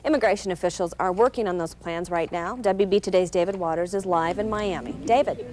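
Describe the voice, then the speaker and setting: A woman's voice: fairly low pitch, a little fast, a little mellow. A woman presenting the news as a television anchor.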